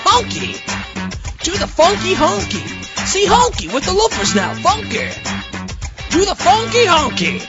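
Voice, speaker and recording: Very high-pitched, goofy mad scientist. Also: male voice, big pitch swings, heavily acted. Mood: goofy, playful. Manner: goofy, drawn-out delivery. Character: silly, childlike, excitable male mad scientist. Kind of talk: comic audio drama acting. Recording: dull, band-limited sound. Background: music.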